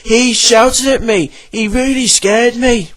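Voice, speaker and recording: A man's voice: very high voice, steady tone, slow pace, background noise, voice pushed up. A man voicing a brave, friendly young male tank engine in a children's storybook, in a friendly manner that turns cross at times. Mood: earnest. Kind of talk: storybook reading.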